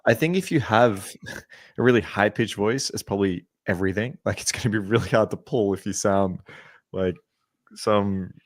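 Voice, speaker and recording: A man with a very low voice talks through dating advice in a loose, easygoing podcast chat. He pads his points with "um" and "right". The sound is dry and close, with no room around it. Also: normal speed, no echo. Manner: relaxed, many ums and rights. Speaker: dating coach.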